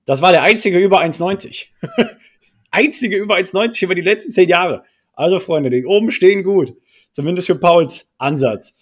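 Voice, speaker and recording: A man's voice: high voice, expressive, normal speed. Jokey male podcast host. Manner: jokey, colloquial. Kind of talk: casual podcast hosting.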